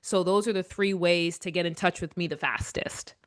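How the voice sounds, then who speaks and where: A woman's voice: lowish voice, fairly even intonation, moderate pace. A woman, a leadership coach, talking earnestly as a podcast guest and often restarting her sentences. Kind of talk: casual interview chat.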